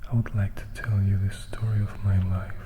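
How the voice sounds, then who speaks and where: A man voicing a calm, commanding old male vampire in a whispered storybook reading, speaking formally and deliberately. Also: fairly low pitch, background noise, lightly acted. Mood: courteous, intent.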